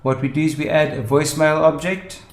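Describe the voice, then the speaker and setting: A man's voice: fairly high pitch, flat delivery, brisk. Man narrating a tutorial in an echoey room. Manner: methodical, step-by-step explaining. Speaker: tutorial presenter. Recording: roomy, echoing voice-over.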